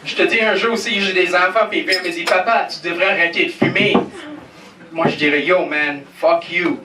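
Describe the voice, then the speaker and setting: A man's voice: high-pitched, fairly even intonation, normal speed. Male stand-up, non-native speaker, crude crowd banter; noisy, roomy live recording from a distance. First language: English. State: nervous. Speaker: stand-up comedian. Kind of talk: stand-up set in a comedy club. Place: comedy club stage. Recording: echoey club from far off, noisy.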